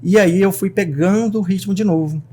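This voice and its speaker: A man with a Brazilian Portuguese accent hosting a casual podcast as a psychiatrist, animated and talking straight to his listeners. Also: high voice, moderate pace. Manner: animated, talks straight to the audience.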